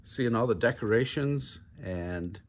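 A middle-aged man with a husky voice reading a children's storybook aloud, with casual asides and questions to the young listeners. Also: medium pitch, unhurried, a little hiss or hum. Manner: chuckles often, asks the listening children questions. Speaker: grandpa reading picture books aloud for kids. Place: living room, indoors.

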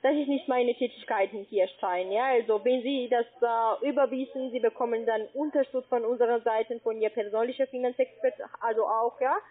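A husky, somewhat high woman's voice with a Spanish accent, talking in broken German as a call-centre agent. She spells things out slowly and patiently, letter by letter. The sound comes through a thin, tinny phone line. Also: fairly even intonation. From Spain.

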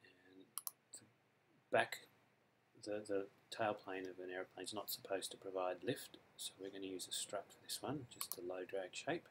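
An Australian man explaining a computer design program step by step in a relaxed tutorial, with frequent ums. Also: deep voice, normal speed, a little hiss or hum, poor audio. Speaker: software author demonstrating his add-in. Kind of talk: screen-recorded software tutorial. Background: mouse clicks and keyboard typing.

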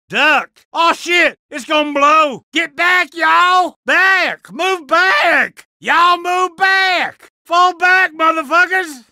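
Game barks: hot-headed Southern tough guy, very high pitch, dry recording. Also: no room sound, full character voice. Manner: loud, profane, belligerent. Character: brash aggressive country tough guy.

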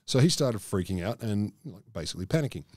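A man's voice: fairly low pitch, animated, fast talker, mellow, no room sound, studio quality. Wisecracking man telling stories. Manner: wisecracking, crude jokes. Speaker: podcast co-host. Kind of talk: casual chat, telling news stories. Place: small studio room around a table. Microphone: desk mic on stand, headphones.

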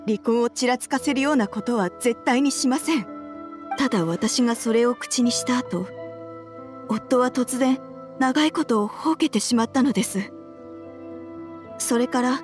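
Game role: woman as troubled wife and mother, higher-pitched, measured, courteous and earnest. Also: close to an everyday voice. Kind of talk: video game voice acting.

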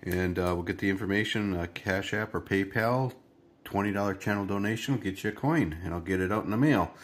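A man's voice: sits low, brisk. A man hosting a scratch-ticket video at his kitchen table, chatting to viewers with a stuffy, congested nose. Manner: chatty, rambling, talks to viewers while scratching. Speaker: lottery scratch-off channel host. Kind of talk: casual scratch-ticket commentary.

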